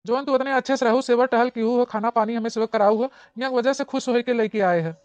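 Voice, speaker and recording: A woman's voice: fairly high pitch, fast talker, darkish tone, dry recording, close to an everyday voice. A woman voicing a blunt, exasperated but forgiving village husband in an Awadhi comedy cartoon, with an Awadhi accent and dull, lo-fi sound. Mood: scolding.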